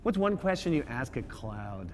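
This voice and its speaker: A young man hosting a mock late-night talk show on a subway car, asking deadpan absurd questions and hamming up his announcer intros. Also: mid-range voice, normal speed, slight background noise. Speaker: comedy talk show host. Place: subway car, train moving.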